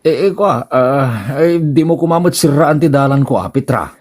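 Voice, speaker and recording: A man's voice: fairly high pitch, lively intonation, normal speed, a little mellow. A man with an Ilocano accent playing a henpecked, easily flustered village husband in a comedy radio drama, stammering and hemming. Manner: stammering, hemming and hawing, grunting exclamations.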